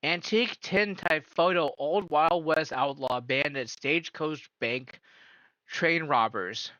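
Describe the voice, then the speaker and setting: A man's voice: a little high, slow, sharp tone, no echo, highs cut off. A man live-streaming a casual reaction, incredulous and firing off repeated questions.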